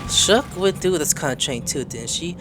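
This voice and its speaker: An excitable game streamer doing let's-play commentary, exclaiming and muttering to themselves. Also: mid-range voice, moderate pace, over music. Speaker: gameplay video host. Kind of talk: let's-play commentary, reacting and reading dialogue aloud.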